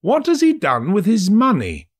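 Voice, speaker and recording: A nosy city gentleman, voiced by a man gossips in a storybook tale with idle callousness. The voice jumps high, animated and a little rough. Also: normal speed, full character voice. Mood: curious.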